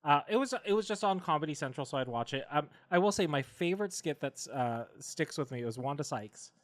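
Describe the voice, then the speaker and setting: A man's voice: on the high side, animated, fairly quick, dry recording. A man hosting a podcast guessing game with casual, comedic banter.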